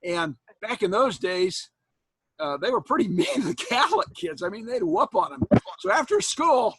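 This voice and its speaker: A man in his sixties from Iowa, a college instructor chatting casually with students on a video call, folksy and quick to laugh. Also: very high-pitched, expressive, vocal fry, fairly bright tone, husky, dry recording. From Iowa, USA. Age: 60s. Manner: folksy, joking, laughs often. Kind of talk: casual chat with students after an online class. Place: home, indoors, on a video call. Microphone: computer mic on a video call.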